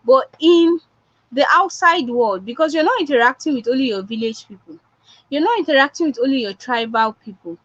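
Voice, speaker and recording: Woman, Nigerian accent, fairly high voice, emphatic coaching talk, dull lo-fi sound. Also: animated, a little fast, no echo. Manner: emphatic, repeats points for effect. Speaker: public speaking coach. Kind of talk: motivational online talk. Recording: dull lo-fi online audio.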